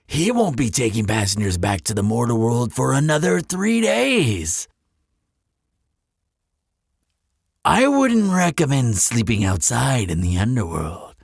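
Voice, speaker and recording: Man playing a slow, silky, slyly courteous demon concierge. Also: mid-range voice, creaky, breathy edge, dry recording, clean studio sound, heavily acted. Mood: polite, sly. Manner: unhurried, polite, coaxing salesmanship. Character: smooth-talking male demon hotel receptionist, courteous and slyly persuasive. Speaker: resort front-desk clerk. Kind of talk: scripted audio roleplay addressed to the listener.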